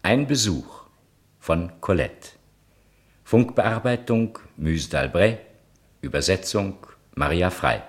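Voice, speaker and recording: A man's voice: low voice, lively intonation, slow pace. A man reading scripted closing credits as a radio announcer, with violin music behind him.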